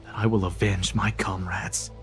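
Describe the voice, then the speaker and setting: An actor playing a stern, embittered soldier in a fantasy video game, speaking formally and gravely. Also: male voice, low voice, level tone, moderate pace, natural voice. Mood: anguished, vengeful. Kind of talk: video game character acting. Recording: loud music behind.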